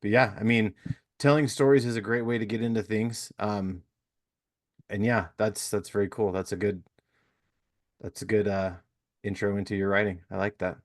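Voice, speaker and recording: Friendly low-voiced man hosting a podcast. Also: fairly even intonation, moderate pace, pauses often, no room sound, studio quality. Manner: friendly, encouraging, laughs easily. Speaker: podcast host. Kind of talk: casual interview chat.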